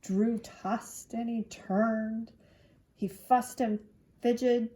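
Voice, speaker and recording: A woman's voice: on the high side, steady tone, drawn-out words, warm tone. A woman reading a children's storybook aloud expressively, drawing out key words to engage young listeners. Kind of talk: expressive read-aloud narration.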